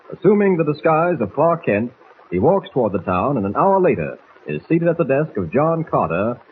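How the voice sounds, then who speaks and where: A man narrating a 1940s radio serial as announcer in an urgent, declamatory style. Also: fairly high pitch. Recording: tinny, noisy 1940s radio recording.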